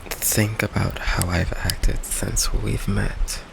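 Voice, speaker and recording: A man playing a gentle, insecure goth vampire boyfriend in an ASMR roleplay, soft-spoken, halting and apologetic, with a gravelly voice. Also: on the low side, noisy background, full character voice. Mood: earnest, defensive. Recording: steady rain ambience behind the voice. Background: rain ambience.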